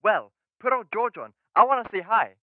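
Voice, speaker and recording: A man's voice: sits high, big pitch swings, moderate pace, no room sound. A young man playing a brash, chummy businessman in a radio drama, hearty and boisterous through a telephone filter. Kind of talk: school radio drama acting. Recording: thin, narrow-band telephone line.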